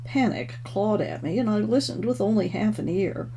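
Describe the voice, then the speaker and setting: A woman's voice: mid-range voice, lively intonation, moderate pace, warm tone. A woman author reading her own first-person novel expressively, as a storyteller. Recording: clearly noisy home recording.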